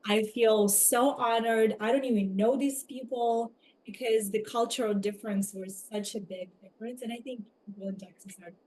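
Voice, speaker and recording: A woman from Ukraine with a Ukrainian accent, telling her personal story as an interview guest, reflective and halting as she picks her words. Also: on the high side, fairly even intonation, a little mellow, low-quality recording. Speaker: podcast guest, somatic coach.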